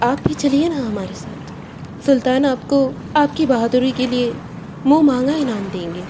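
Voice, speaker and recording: A woman's voice: high voice, measured pace, background noise, voice pushed up. A woman voicing a proud young princess in a dramatized audio story. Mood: polite, curious.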